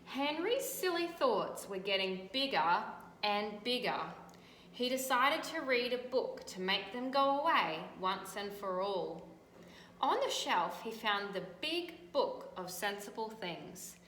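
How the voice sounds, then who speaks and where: An Australian woman teacher reading a picture book aloud and gently pointing out details in the pictures. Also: medium pitch, expressive, slow. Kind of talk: storybook read-aloud with commentary on pictures. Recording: echoey room, faint background noise.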